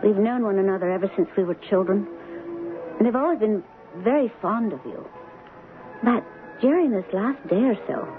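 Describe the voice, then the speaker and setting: An actress playing a devoted young princess in love, soft and earnest in a romantic radio drama. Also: female voice, medium pitch, lively intonation, moderate pace, lightly acted. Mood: tender. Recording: dull 1970s radio broadcast, music behind.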